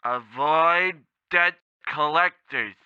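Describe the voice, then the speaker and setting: A man's voice: a little high, extremely slow, smooth, no echo, muffled recording. A man playing a blunt, condescending, cheerfully unhelpful temp agency man in a comedic old-time radio play, patronizing and matter-of-fact. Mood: impatient.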